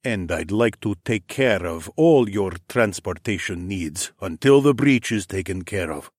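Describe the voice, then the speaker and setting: Guy playing a polite dragon servant in a fantasy audiobook, lowish, formal, very dry sound. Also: expressive, measured pace, no room sound. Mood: deferential.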